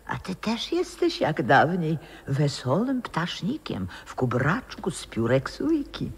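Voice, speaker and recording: An aging opera singer lost in memories. Her husky voice swings widely between lyrical declamation and whispers. It is a 1960s radio play, recorded with long, hanging reverb. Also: mid-range voice, exaggerated voice. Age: older. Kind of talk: theatrical 1960s radio drama acting.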